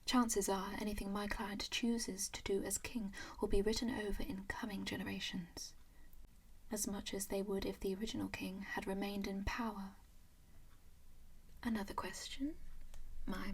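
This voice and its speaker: Woman voicing an ancient vampire lady, whispered, breathy, soft, doting, unhurried, ASMR roleplay. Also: mid-range voice, level tone. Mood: soothing. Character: ancient female vampire, genteel, possessive, calmly scheming.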